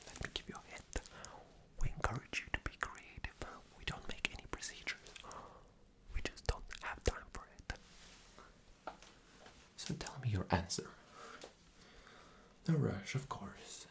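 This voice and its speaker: A man plays a rude, arrogant male boss in an ASMR interview roleplay. His voice is low and mostly whispered, with a gravelly creak. He comes across as sarcastic, impatient and demanding. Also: animated, unhurried, long pauses, bright, forceful tone, full character voice. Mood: condescending.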